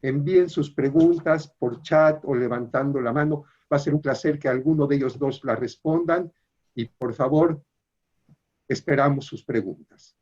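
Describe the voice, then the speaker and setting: A man reading an introduction in a formal, measured way, then moderating audience questions in a video call with some hesitant fillers. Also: mid-range voice, darkish tone, dull audio. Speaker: webinar moderator.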